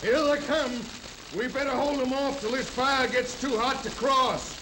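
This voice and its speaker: A bossy Western outlaw in an old radio drama. His voice is very high as he barks terse orders to the gang. Heavy noise covers the 1930s soundtrack. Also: fairly even intonation, a little slow. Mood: tense. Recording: very noisy 1930s film soundtrack.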